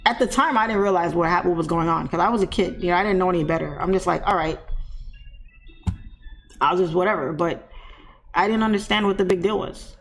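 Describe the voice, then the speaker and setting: Woman live-streamer with a lisp, gravelly, casual and chatty, faint noise behind. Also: fairly low pitch, brisk, pauses often, rough recording. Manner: chatty, laughs often, talks back to viewers.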